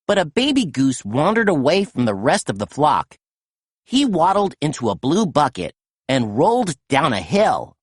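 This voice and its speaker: A woman narrating a children's story as an animated read-aloud storyteller. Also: low voice, moderate pace, slightly rough, dry recording, clean studio sound.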